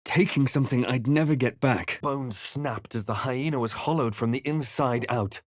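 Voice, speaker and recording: A composed, wry male adventurer from a fantasy video game, voiced by an actor, heard close and dry. His voice sits at a middling male pitch. He speaks in measured, literary phrases with a theatrical weight. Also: no echo, natural voice. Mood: grave, hushed narration. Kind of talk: dramatic fantasy game voice lines and narration.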